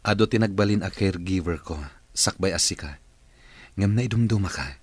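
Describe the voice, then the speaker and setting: A deep-voiced, earnest businessman. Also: brisk, husky, dry recording. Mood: grateful, earnest. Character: grateful, earnest businessman boss recovering from illness. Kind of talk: radio drama acting.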